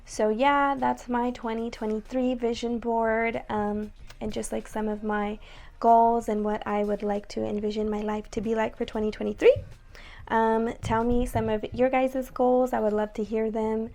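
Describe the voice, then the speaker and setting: A young woman with a raspy voice talking casually to her viewers, chatty and full of fillers. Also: on the high side, little pitch movement, moderate pace, dark tone. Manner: chatty, many likes and ums. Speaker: vlogger. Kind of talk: casual vlog talk to camera.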